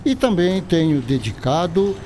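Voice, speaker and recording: Earnest Brazilian male scientist, courteous and didactic, higher voice, radio drama with faint noise. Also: very animated, unhurried, mellow. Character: earnest scientist doctor, courteous, patiently explaining. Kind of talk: educational radio drama acting.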